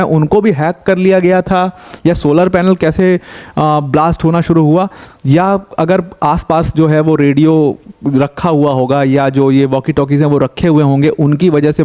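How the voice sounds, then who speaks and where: Mellow-voiced man explaining world news. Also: on the high side. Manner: conversational, asks rhetorical questions, mixes in English terms. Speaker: current affairs educator.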